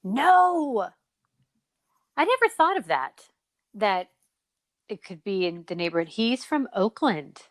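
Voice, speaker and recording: A woman's voice: mid-range voice, big pitch swings, slow pace, long pauses, dry recording, studio quality. A woman hosting a podcast in a casual, chatty and playful way, with exclamations as she runs quizzes and interviews. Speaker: podcast host.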